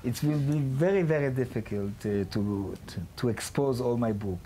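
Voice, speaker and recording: Man lecturing in halting English. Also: medium pitch, background noise. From Israel. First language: not English. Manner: halting, non-native phrasing with restarts. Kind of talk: public lecture.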